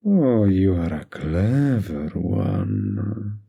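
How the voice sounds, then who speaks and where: Man playing a slow, husky flirty mafia boss. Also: sits low, huge pitch swings, warm tone, lo-fi sound, full character voice. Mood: flirty, coy.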